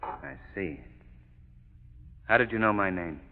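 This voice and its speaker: An actor playing a shrewd undercover sleuth in vintage radio crime drama, talking in a casual, slangy, inquisitive way. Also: male voice, low voice, animated, slow. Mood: guarded. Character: shrewd male undercover sleuth feigning an eager, gullible newcomer. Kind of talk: 1930s-40s radio crime drama acting. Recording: tinny, echoey vintage radio recording.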